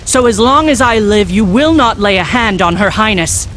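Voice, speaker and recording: A woman's voice: medium pitch, animated, normal speed, a bit bright, slight background noise, close to an everyday voice. A woman playing a brave middle-aged noblewoman in a video game, formal, composed and protective. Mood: resolute. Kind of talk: dramatic video game acting.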